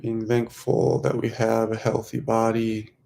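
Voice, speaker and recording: A man leading a guided meditation in a soothing, unhurried manner, speaking in short phrases with long pauses. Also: lowish voice, monotone, gravelly, dark tone, slight background noise. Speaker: meditation guide, host of a weekly group.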